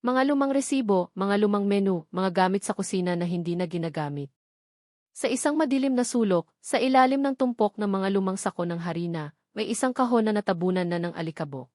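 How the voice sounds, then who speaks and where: A woman narrates a dramatic love story. Her voice sits at a middle pitch for a woman. The recording is dry, with no room around it. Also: expressive, fairly quick, a little mellow, no echo. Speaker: narrator of audio love stories.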